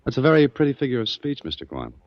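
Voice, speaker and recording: An actor playing a wry, inquisitive adventurer who also narrates, conversationally, in a 1940s radio drama. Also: male voice, medium pitch, big pitch swings, quick pace, no echo, natural voice. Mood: curious, amused. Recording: dull old 1940s radio recording.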